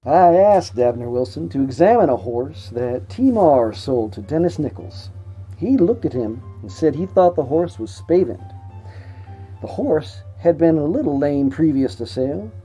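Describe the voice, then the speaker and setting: A plain-spoken farm witness from the nineteenth century, acted by a reader gives an expressive account. The voice is very dark and mellow, with lively rises and falls, over faint music. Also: mid-range voice, poor audio. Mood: matter-of-fact. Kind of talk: dramatic reading of old written testimony.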